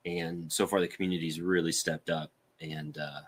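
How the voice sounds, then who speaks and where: A man with a low, deep voice chatting casually as a podcast co-host. He speaks haltingly, often doubling back on his words. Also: flat delivery. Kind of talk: casual chat.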